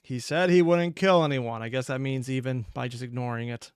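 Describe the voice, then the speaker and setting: A man's voice: medium pitch, fairly even intonation, fairly quick, clear, resonant tone, dry recording, studio quality. A man giving casual reaction commentary as a video host, emotive and often exasperated.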